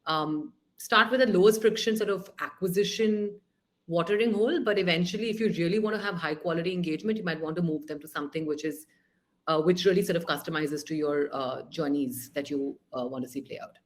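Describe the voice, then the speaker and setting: A woman, a founder speaking on a panel over a video call, animated and thinking aloud with frequent restarts. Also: lowish voice, a little fast. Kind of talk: panel discussion.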